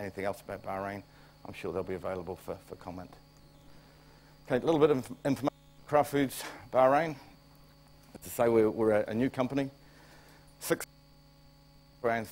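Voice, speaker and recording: A man's voice: sits low, a little mellow, slight background noise, poor audio. A middle-aged man with a New Zealand accent, a plant director giving a conference talk, plain-spoken and wry, coming down with a cold. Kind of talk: conference presentation.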